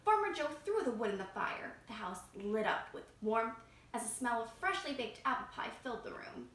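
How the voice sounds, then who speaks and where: A woman reading a picture book aloud to children as a library storytime reader, expressive and engaging them directly. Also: fairly high pitch, normal speed. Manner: expressive, asking young listeners questions. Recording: roomy echo, faint background noise.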